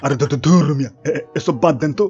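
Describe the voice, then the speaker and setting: A man's voice: on the high side, fast, darkish tone, highs cut off, lightly acted. A man voicing a stammering, naive young man with a Bangladeshi accent in a comic cartoon. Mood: eager, pleading. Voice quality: stutter.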